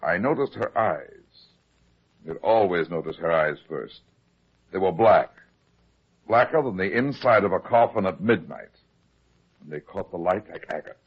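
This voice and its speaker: An actor playing a hard-boiled, heavyset private detective, terse and deadpan, in old-time radio style. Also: male voice, deep voice, moderate pace, pauses often, breathy edge, full character voice. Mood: brooding narration. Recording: dull, lo-fi old radio drama recording.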